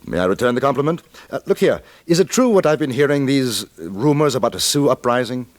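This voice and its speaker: An actor playing a polite British gentleman correspondent in a radio western, courteous, formal and a little hesitant. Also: medium pitch, lively intonation, fairly quick, dry recording, lightly acted. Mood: eager, inquiring. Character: polite, proper English gentleman newspaper correspondent. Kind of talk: old-time radio western acting. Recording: noisy old radio recording.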